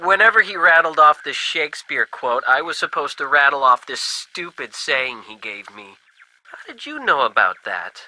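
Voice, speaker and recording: An actor playing a folksy, skeptical working-class ham radio buddy in a video game, talking in casual old-fashioned slang. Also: male voice, on the high side, big pitch swings, normal speed, bright, forceful tone, exaggerated voice, low-quality recording. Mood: suspicious.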